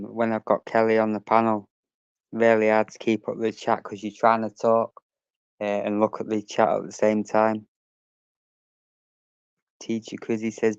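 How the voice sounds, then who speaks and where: A man's voice: on the low side, clean tone, no room sound, muffled recording. A man hosting a casual livestream, chatting and reading out messages from his chat, swearing now and then.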